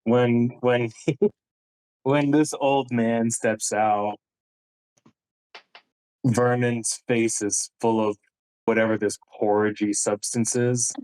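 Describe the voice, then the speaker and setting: A man chatting casually as a tabletop game player, halting and often giggly. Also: medium pitch, steady tone, a little mellow, smooth, poor audio.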